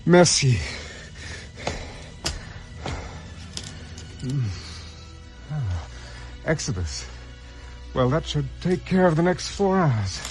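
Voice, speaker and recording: A man's voice: a little high, very animated, slow pace, darkish tone, soft background music. An actor playing a hunted, resourceful man, muttering to himself in short urgent bursts in a radio drama. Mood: relieved, wry. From England. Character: hunted Englishman on the run, anxious, resourceful, thinking aloud. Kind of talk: radio drama inner monologue.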